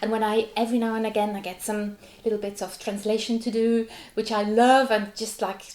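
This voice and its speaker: A woman's voice: medium pitch, level tone, a little fast, a little mellow, echoey room. A Swiss woman in her forties, German her first language, a contemporary dancer chatting animatedly in an interview with lots of "like" fillers and laughs. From Switzerland. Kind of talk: casual interview chat.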